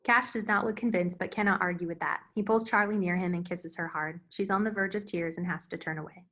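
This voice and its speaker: A woman's voice: on the low side, steady tone, brisk, a bit bright. A woman reading scene directions as scripted narration at a screenplay table read. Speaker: stage directions reader.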